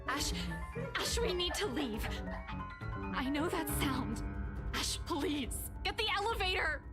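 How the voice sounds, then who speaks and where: High-pitched anxious young woman, game music. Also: very animated, slow, heavily acted. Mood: scared, pleading. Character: frightened, concerned young woman, urgent and pleading. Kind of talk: visual novel game voice acting. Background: game music behind the voice.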